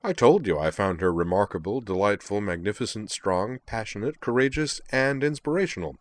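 A proud reptilian fencer of a storybook , acted out by a male reader, speaks with measured, flowery courtesy. His tone is calm and polite with a cutting edge, in a low register, recorded close and dry. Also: dry recording, close to an everyday voice. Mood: admiring, defiant. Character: courteous, proud lizard-like alien swordsman, calm, cheerfully cutting. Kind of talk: acted dialogue in a narrated story.

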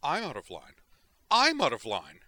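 A man's voice: lowish voice, sing-song, quick pace, pushed and bright, no room sound, pitched above the performer's own voice. A man reading the lines of a furious female mayor who speaks venomously. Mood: blazing.

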